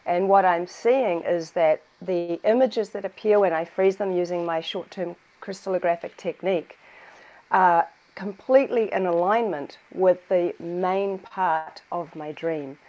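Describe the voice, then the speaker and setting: A woman with a New Zealand accent speaks on a video call in a slightly low voice. She explains her work fluently and with clear enthusiasm. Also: a little slow, dark tone, lo-fi sound. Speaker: guest researcher. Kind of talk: conversational explanation.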